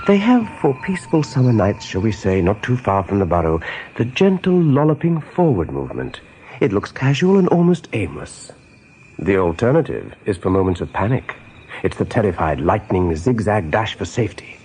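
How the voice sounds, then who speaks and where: A man narrating a scripted radio drama as an all-knowing storyteller, with a husky voice and measured, deliberate delivery. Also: fairly low pitch, very animated, darkish tone, a little hiss or hum. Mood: wry, explanatory. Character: omniscient storyteller of a rabbit adventure.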